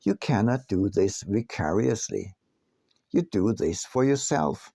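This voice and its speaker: A man narrating his own audiobook from a script in a deliberate, emphatic manner. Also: fairly low pitch, lively intonation, vocal fry, mellow, no echo, clean studio sound. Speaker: author reading own book.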